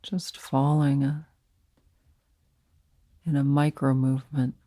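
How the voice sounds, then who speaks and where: A woman leading a guided meditation as a teacher, speaking softly and soothingly in short, unhurried phrases with pauses between them. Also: extremely low pitch, flat delivery, dry recording, studio quality.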